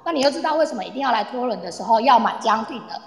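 A woman's voice: fairly high pitch, expressive, rapid-fire, lightly acted. A woman playing a cheerful, eager tour guide in an amateur radio play, chatting to her group as she explains. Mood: cheerful, encouraging. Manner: chatty, addresses group, uses soft sentence-final particles. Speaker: tour guide in an audio drama. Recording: echoey, long reverb, online audio-room recording.

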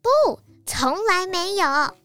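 A female storyteller voicing an excitable, exasperated little squirrel in a children's storybook, with emphatic, drawn-out denials. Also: sits high, soft background music, heavily acted. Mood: firm denial.

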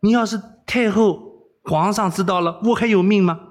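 A man's voice: high voice, normal speed, pitched above the performer's own voice, natural voice. A man voicing a cheeky, foul-mouthed young rogue posing as a palace eunuch, brash and exclamatory, in audiobook storytelling. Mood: exasperated.

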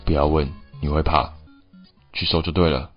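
A man's voice: a bass voice, steady tone, moderate pace. A man playing a wry, bossy, long-suffering bartender in an audio drama, with a Taiwanese Mandarin accent. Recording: slightly dull audio with music.

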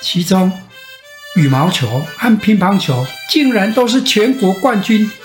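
An older man with a Taiwanese Mandarin accent tells a bedtime fairy tale as a grandfather, with dramatic pauses and repetition. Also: high voice, very animated, faint music behind. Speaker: grandfather storyteller. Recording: roomy echo.

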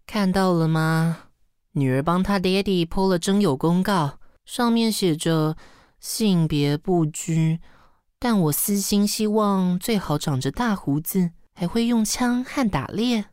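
An actress playing a sarcastic, long-suffering assistant in a radio drama, with a Taiwanese Mandarin accent and a teasing, weary manner. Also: female voice, on the low side, a little slow, darkish tone, clear, resonant tone, no room sound, studio quality, natural voice. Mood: sardonic. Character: sardonic, long-suffering female assistant to a fashion director. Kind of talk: radio drama acting with inner monologue.

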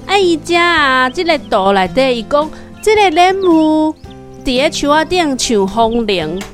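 A woman's voice: high voice, sing-song, a little slow, soft background music. A woman with a Taiwanese accent chatting casually with a young child, explaining things simply and asking questions. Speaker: host of a children's segment, talking with a young child. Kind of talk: casual parent-child chat about a picture book.

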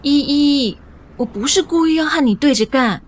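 Casting: soft-hearted young woman, evasive and pleading, very high voice, lively melody, modern radio romance. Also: normal speed. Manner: hesitant, coaxing.

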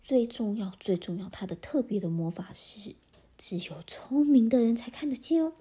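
A crafty short tailor from a children's storybook brags and persuades in a slightly raised voice, as a woman puts him on. The sound is airy and soft. He talks up his goods with eager confidence. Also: a little high, animated, moderate pace, breathy edge, pitched above the performer's own voice. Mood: boastful. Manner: boasting, persuasive.

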